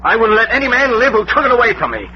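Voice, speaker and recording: A man's voice: extremely high pitch, very animated, very fast. A man playing a blunt, hard-boiled tough in a 1940s radio crime drama. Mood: bitter, defiant. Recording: muffled, hissy vintage radio recording.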